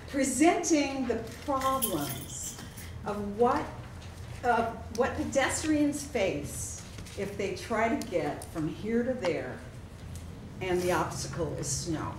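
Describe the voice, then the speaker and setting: A woman speaking as a city councilor, giving an informal presentation at a public meeting in halting, measured phrases. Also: medium pitch. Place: indoor council meeting room. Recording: echoey, noisy meeting room, distant mic.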